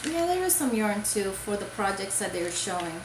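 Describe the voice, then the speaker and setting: A woman's voice: mid-range voice, very animated, normal speed, roomy sound, noisy background. A woman from Puerto Rico chatting casually about crafts for her video, rambling with many fillers and restarts. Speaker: crochet vlogger. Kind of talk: casual show-and-tell chat.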